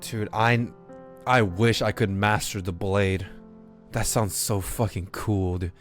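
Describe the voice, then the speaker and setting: A man's voice: fairly low pitch, fairly even intonation, slow, faint music behind. A man giving casual, slangy, incredulous reaction commentary on a game livestream. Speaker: game streamer.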